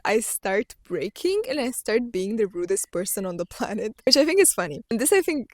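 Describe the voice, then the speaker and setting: A woman's voice: medium pitch, darkish tone, no room sound, studio quality. Chatty young woman from Romania. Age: young adult. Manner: candid and chatty, laughs often, fillers like "like" and "literally". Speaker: vlogger. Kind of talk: casual reflective monologue to camera.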